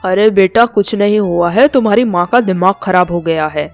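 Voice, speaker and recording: A woman's voice: medium pitch, moderate pace, darkish tone, faint music behind, pitched below the performer's own voice, natural voice. A woman doing a grumbling old husband. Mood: scolding. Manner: scolding, exasperated. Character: grumbling, disapproving old husband and father-in-law. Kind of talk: storybook cartoon dialogue.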